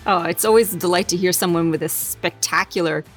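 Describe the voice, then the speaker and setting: A woman hosting a leadership podcast, chatty and quick to laugh at herself. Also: on the low side, faint music behind. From Canada. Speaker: podcast host. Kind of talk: podcast intro and interview.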